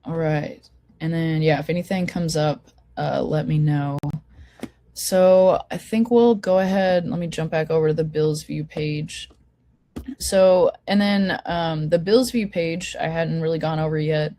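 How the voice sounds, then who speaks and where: A woman with a hoarse voice from a cold, hosting an online meeting and walking attendees through a website in a casual, filler-heavy way. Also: sits low, unhurried, warm tone, a little hiss or hum. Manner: informal, lots of fillers and restarts. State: hoarse, getting over a cold. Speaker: forum host. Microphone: video call.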